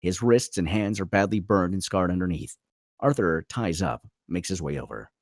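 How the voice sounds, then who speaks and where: A man's voice: low voice, expressive, moderate pace, dry recording, clean studio sound. A man narrating a screenplay dramatically at a table read.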